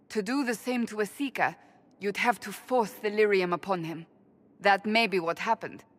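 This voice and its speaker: An actress playing a stern, earnest woman warrior of a holy order in a fantasy game, speaking in a formal, deliberate way. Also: on the low side, lightly acted. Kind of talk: dramatic fantasy game dialogue.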